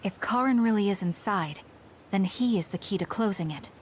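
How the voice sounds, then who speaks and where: A woman's voice: medium pitch, normal speed, slight background noise, lightly acted. Actress as cool, serious young fighter woman. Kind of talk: video game voice acting.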